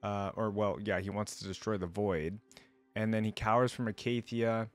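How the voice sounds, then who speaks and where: Low-voiced man doing casual reactions. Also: steady tone, moderate pace. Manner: slangy, informal. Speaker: reaction video host. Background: faint music.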